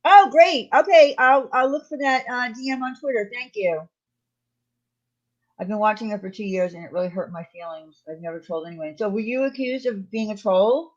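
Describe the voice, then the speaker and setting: A woman's voice: medium pitch, fairly quick, lo-fi sound. A woman hosting a livestream, chatting casually with viewers and responding to their messages. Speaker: livestream host. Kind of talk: casual chat with live viewers.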